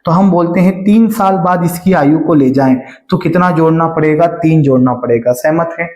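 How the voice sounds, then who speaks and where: A man's voice: on the high side, a little mellow, reverberant. A man teaching a math lesson for exam prep, explaining step by step and often checking that students follow. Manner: stretches key phrases slowly while reading the question aloud.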